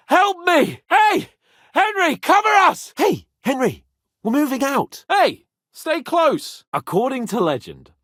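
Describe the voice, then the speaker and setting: A man's voice: extremely high pitch, fairly bright tone, no echo, studio quality, full character voice. An actor voicing a young medieval nobleman in a video game, imperious, playful and hot-tempered, with a teasing, dramatic delivery. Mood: urgent shouting. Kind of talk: video game character acting.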